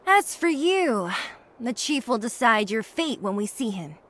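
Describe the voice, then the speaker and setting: A woman voice acting a brisk, blunt young fighter in a video game, curt and no-nonsense. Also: on the high side, sing-song, fairly bright tone, full character voice. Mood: curt, challenging.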